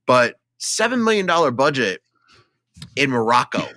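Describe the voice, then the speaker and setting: Guy on a movie podcast, super animated and emphatic, bright voice, dry close mic. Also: mid-range voice, moderate pace, no room sound. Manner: enthusiastic, emphatic, conversational. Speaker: film podcast co-host. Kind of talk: casual film discussion. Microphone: studio microphone, close.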